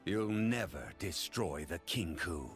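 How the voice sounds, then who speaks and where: A stern ninja guardian from a video game in a very deep voice. He is solemn, grave and resolute, heard over faint music. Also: lively intonation, slow. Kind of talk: dramatic video game voice lines.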